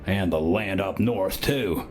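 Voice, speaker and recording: A man's voice: low-pitched, slow pace, a little mellow, faint music behind. A man playing a hawkish, brooding party guest in a video game. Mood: grim, hawkish.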